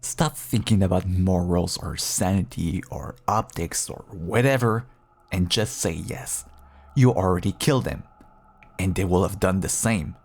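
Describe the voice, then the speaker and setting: A man playing a feral, manipulative vampire husband in an audio roleplay, mocking and hungry, drawling his endearments in a husky, gravelly voice. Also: low-pitched, darkish tone, full character voice. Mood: smug, taunting. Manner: slow, taunting, drawling endearments. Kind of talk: intimate audio roleplay acting.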